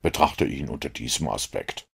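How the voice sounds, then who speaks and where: Man acting out superior male detective, extremely low, husky, measured, didactic, audiobook storytelling. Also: animated, a bit bright, full character voice. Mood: self-assured, reasoning. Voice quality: gravelly, husky. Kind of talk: audiobook character reading.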